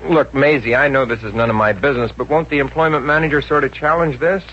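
A man's voice: mid-range voice, quick pace, lightly acted. Man acting dopey hesitant american boyfriend, old radio comedy, muffled with some noise. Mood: unsure. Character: hapless, sheepish, none-too-bright steady boyfriend. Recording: dull, noisy 1950s radio recording.

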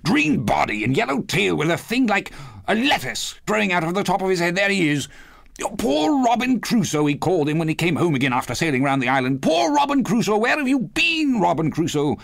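A man's voice: sits high, very animated, brisk, vocal fry, a bit bright, no room sound, clean studio sound, pitched above the performer's own voice, exaggerated voice. A man voicing an old miser in a storybook, exclaiming and gushing with childlike delight. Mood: ecstatic, excited. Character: excitable old miser reliving childhood wonder.

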